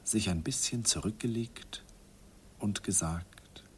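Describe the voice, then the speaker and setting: A man's voice: on the low side, slow pace, whispered. An actor narrating a radio play as an older man recalling his youth with wistful, self-mocking irony. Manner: wistful, self-ironic reminiscing.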